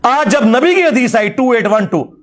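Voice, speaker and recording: A man's voice: very high-pitched, expressive, unhurried, a bit bright. A middle-aged man with a Pakistani Punjabi accent giving an informal, polemical religious lecture in a combative, mocking, emphatic way.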